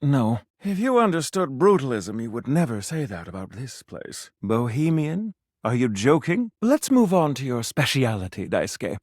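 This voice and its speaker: An actor playing a pompous, romantic gourmet food critic in a video game, with refined diction and a florid, theatrical way of savoring words. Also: male voice, medium pitch, big pitch swings, moderate pace, no room sound, studio quality. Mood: fussy, eager. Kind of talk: video game character voice acting.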